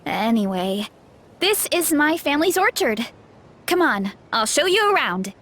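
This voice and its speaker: High-pitched, cheerful young woman. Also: sing-song, unhurried, a bit bright, a little hiss or hum, heavily acted. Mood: cheerful, proud. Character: cheerful, earnest young woman, orchard girl and fighter in training. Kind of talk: video game voice acting.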